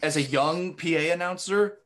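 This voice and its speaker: A man's voice: a little high, moderate pace, fairly bright tone. An American man hosting a podcast, chatting casually about sports as he interviews a guest. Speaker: podcast host.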